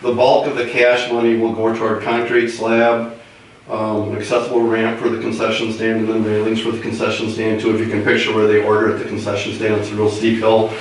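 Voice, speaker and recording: An American man with a voice roughened by a cold, informally presenting to a meeting. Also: fairly low pitch, completely flat delivery, brisk. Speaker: grant presenter at council meeting. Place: indoor meeting room, far mic. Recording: echoey room, distant mic, faint noise.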